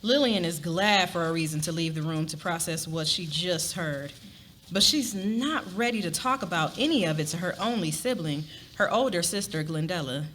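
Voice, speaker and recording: A woman's voice: lowish voice, animated, moderate pace, fairly bright tone. A woman narrating a scripted story expressively at a live dramatic reading. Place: live event before an audience. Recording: live reading with clear ambient noise.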